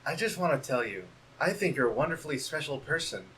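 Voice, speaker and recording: A man voicing a shy young goat prince who speaks softly, hesitantly and politely. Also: on the high side, very animated, fast, a little hiss or hum, rough recording.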